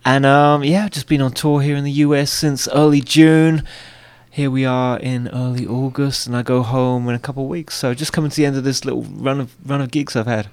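A man's voice: mid-range voice, steady tone, fairly quick, darkish tone, clear, resonant tone. A man from near London with an English accent, chatting easily as a guest singer-songwriter in a radio studio interview. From England, near London. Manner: easygoing, agreeable, many yeahs.

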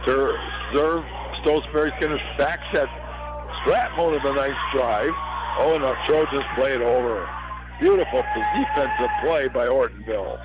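A middle-aged American man with a hoarse, failing voice gives folksy live play-by-play commentary on a local volleyball game in short phrases. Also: medium pitch, huge pitch swings, moderate pace, over loud music. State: hoarse, losing his voice from allergies. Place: high school gym. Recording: dull lo-fi radio broadcast sound.

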